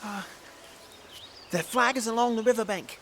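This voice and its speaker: A woman's voice: a little high, normal speed, background noise, close to an everyday voice. A performer playing a boastful, cunning young boy prince in an audio drama, grand and playful in manner. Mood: urgent scheming. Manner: grandiose, mock-heroic.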